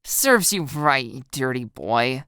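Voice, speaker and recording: A woman's voice: a bass voice, expressive, slow pace, fairly bright tone, clear, resonant tone, no room sound, studio quality, exaggerated voice. A woman voicing an amused, teasing teenage schoolgirl in a dramatized audio story, gasping and breaking into giggles as she talks. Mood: playful disgust.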